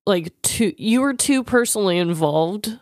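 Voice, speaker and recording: Female co-host, consoling casual talk, slow pace, expressive melodic range. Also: mid-range voice, no echo, studio quality. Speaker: podcast co-host. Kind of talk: casual supportive conversation.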